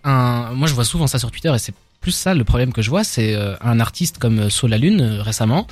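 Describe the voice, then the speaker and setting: A man's voice: mid-range voice, brisk. A man hosting a casual rap debate talk show, French not his first language, often restarting his sentences. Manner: animated, stumbling restarts. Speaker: podcast host.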